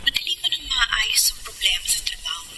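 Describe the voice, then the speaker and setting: A woman's voice: sits high, sing-song, a little fast, noisy background. An actress playing a devoted, hurt young girlfriend in a radio drama, earnest and imploring. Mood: concerned, hurt.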